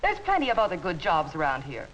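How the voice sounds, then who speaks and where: An American actress playing a defiant, easily offended office clerk in a 1950s instructional film with a hissy soundtrack. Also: female voice, low-pitched, huge pitch swings, fast, fairly bright tone, lo-fi sound, heavily acted. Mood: indignant, resentful. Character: indignant, defiant female office clerk, quick to take offense. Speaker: actor in workplace instructional film.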